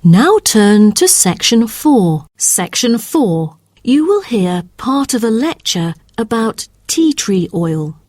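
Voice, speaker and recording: A woman announces a listening test with formal, deliberate delivery. She speaks rather slowly, with very varied intonation and a medium pitch. The recording is dry, with no room echo. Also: sing-song, darkish tone. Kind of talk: scripted exam instructions.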